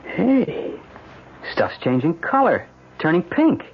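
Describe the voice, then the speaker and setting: Blunt American defense lawyer, pressing and urgent, widely swinging pitch, dull noisy old radio sound. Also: male voice, very animated, slow pace, gravelly, breathy edge, heavily acted. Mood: curious, impressed. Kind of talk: old-time radio drama acting.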